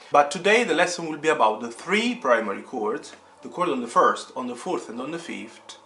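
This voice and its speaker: A man's voice: on the high side, sharp tone, husky. A man teaching in an instructional video tutorial, explaining step by step and stressing key words, with rhetorical questions to the viewer. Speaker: piano teacher, channel host. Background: piano chords played as demonstration.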